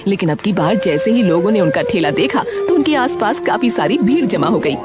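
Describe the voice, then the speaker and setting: Female narrator for scripted animated tales, animated delivery, mid pitch, music underneath. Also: a little fast. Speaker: storyteller, narrator. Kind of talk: scripted Hindi story narration.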